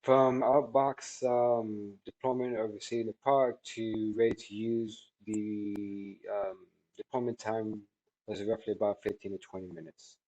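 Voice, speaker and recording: A man in his thirties giving a spoken product testimonial in a halting, measured way, with drawn-out words. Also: on the low side, level tone, mellow, low-quality recording. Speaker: technology product reviewer. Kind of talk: spoken testimonial answering questions. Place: small indoor office room by a large window.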